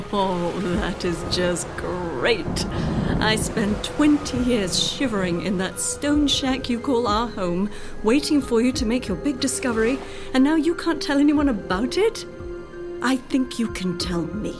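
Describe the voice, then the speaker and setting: An actress playing an exasperated, sarcastic middle-aged wife in a radio drama. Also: female voice, on the high side, animated, a little slow, music in the background. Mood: sarcastic, scornful.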